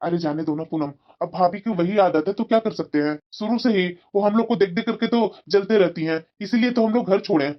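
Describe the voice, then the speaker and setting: A woman's voice: medium pitch, brisk, hardly any pauses, natural voice. A woman voicing a placating, reasoning husband and brother in a family cartoon drama. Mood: dismissive, soothing. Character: placating adult man, husband and protective brother. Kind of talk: Hindi family drama storytelling. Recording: dull, lo-fi sound.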